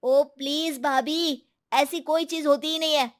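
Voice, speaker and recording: A woman's voice: sits high, steady tone, measured pace, pitched above the performer's own voice. A woman voicing a spoiled, arrogant younger brother in a cartoon moral story, arguing dismissively. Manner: dismissive, argumentative.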